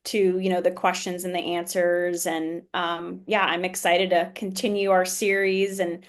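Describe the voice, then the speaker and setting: A woman's voice: on the low side, level tone, unhurried. A woman hosting a casual business podcast, asking questions in a friendly, chatty way. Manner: friendly, chatty, laughs often. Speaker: podcast host.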